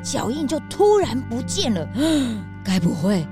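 A woman voicing an excitable, easily frightened young sidekick who complains and exclaims in a children's audio detective drama. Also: on the high side, measured pace, music in the background. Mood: nervous.

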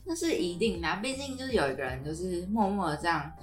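A young woman with a Taiwanese accent co-hosts a casual podcast. Her lowish voice swings up and down animatedly, in a roomy, echoing space. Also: animated, fast. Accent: Taiwanese Mandarin. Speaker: podcast co-host. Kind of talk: casual chat. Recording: roomy echo, music underneath.

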